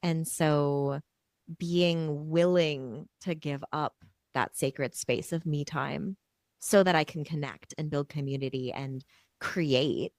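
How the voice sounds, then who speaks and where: A woman hosting a podcast, chatting in a reflective, relaxed way while she is losing her voice. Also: low voice, takes it slowly, no echo, studio quality. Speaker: podcast host. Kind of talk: casual podcast conversation.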